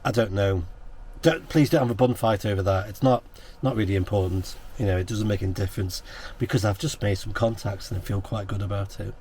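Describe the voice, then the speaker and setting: A man's voice: lowish voice, fairly even intonation, a little fast, a little mellow, a little hiss or hum. A British man in a chatty, casual style, talking as an amateur radio operator and vlogger who spells things out in the phonetic alphabet. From United Kingdom. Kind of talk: casual vlog talk and radio contact exchanges. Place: portable radio outing.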